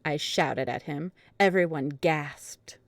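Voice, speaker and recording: A woman with a very low voice narrates fan fiction as a dramatic reading. The sound is dry and close, with no room around her. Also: slow, vocal fry, no echo.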